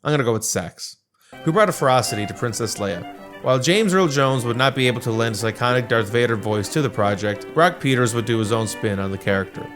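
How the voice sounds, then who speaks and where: A man's voice: medium pitch, animated, quick pace. A man hosting and narrating a scripted video essay, with music playing behind his voice.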